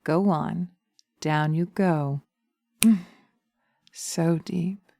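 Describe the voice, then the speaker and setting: Woman playing a sultry, breathy witch, low and mellow. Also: fairly even intonation, dry recording, clean studio sound, heavily acted. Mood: soothing, hypnotic. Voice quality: breathy, often dropping to a whisper. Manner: sultry, drawn-out, teasing, commanding. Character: seductive, vengeful witch, domineering and mocking. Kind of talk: slow hypnotic roleplay audio, ASMR. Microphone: close microphone, dry binaural recording.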